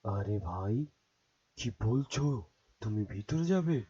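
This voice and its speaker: A man's voice: on the low side, animated, slow pace, dark tone. A man voicing a worried, trusting cartoon elephant who talks in an anxious, questioning way. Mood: puzzled. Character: worried, trusting male elephant, friend of a rabbit. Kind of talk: cartoon voice acting. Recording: dull lo-fi sound with background noise.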